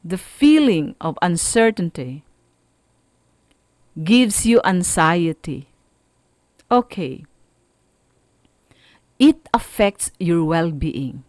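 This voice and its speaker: Slow, expressive Filipino woman teaching. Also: lowish voice, no room sound, clean studio sound. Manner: deliberate, explanatory, halting phrasing with emphatic stresses. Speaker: radio school teacher. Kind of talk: radio lesson.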